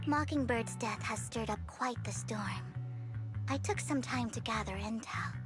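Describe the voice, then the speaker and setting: Sweet, high-voiced, devoted young woman. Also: a little slow. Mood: serious briefing. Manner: polite, earnest, cutesy delivery. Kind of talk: video game voice acting. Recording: clean game dialogue with music behind.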